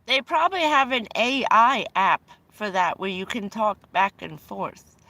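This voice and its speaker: Bright, chatty American woman. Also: mid-range voice, a little slow, no room sound. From United States. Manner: chatty and rambling, laughs often, swears casually. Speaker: livestream host. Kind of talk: casual rambling livestream chat. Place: inside a vehicle.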